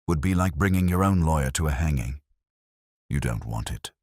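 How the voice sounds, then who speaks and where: Man playing a very deep wisecracking detective's sidekick. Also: expressive, moderate pace, no echo, studio quality. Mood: cocky. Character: wisecracking tough male private eye's assistant, narrating. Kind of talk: hardboiled detective audiobook narration.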